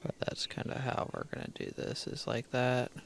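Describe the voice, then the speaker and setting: A man's voice: mid-range voice, monotone, moderate pace. A man streaming a game live, thinking aloud as he plays, half-muttering his plans, with a crackly voice from a dry throat. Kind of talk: casual live gameplay commentary.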